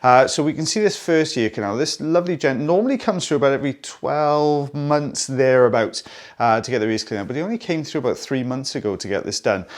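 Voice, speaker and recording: A Welsh man talks viewers through an ear-cleaning procedure in a friendly, explanatory manner. His voice sits at a middle pitch, and he turns aside now and then to speak directly to the audience. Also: lively intonation, brisk. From South Wales. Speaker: audiologist presenting ear wax removal. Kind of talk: narrated procedure commentary.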